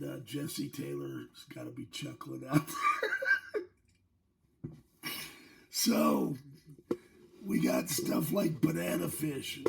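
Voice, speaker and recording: Hoarse, gravelly-voiced man, slow and joking. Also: a little high, fairly bright tone. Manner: joking, chuckling between remarks. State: hoarse, losing his voice from a virus. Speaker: channel host. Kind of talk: casual demo vlog.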